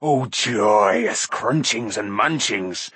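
A grovelling, pitiful half-beast creature, put on by a male narrator whines and wheedles for food. The voice is slightly husky and close, with no room sound. It is a storybook creature with a sly, self-pitying streak. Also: fairly low pitch, animated, drawn-out words, a bit bright, no room sound, full character voice. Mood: wheedling.

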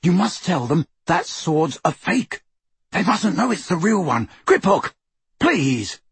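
A man's voice: high voice, sing-song, slow pace, husky, no echo, voice pushed up, exaggerated voice. A man voicing a frantic, desperate teenage boy hero in a storybook, urgent and imploring. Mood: urgent pleading. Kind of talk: storybook character voice.

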